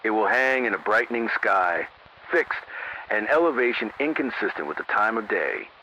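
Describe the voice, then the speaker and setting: A man playing a sober local AM radio announcer in an analog horror emergency broadcast, speaking in a grave, measured, formal way through a radio filter. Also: on the low side, animated, bright, forceful tone. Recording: narrow-band AM radio with static noise.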